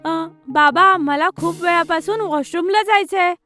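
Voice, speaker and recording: A tiny schoolgirl cartoon voice, super high, eager n pleading, soft music behind. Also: a little slow, full character voice. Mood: coaxing. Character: little schoolgirl, eager, obedient, slightly crafty. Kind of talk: children's cartoon story dialogue.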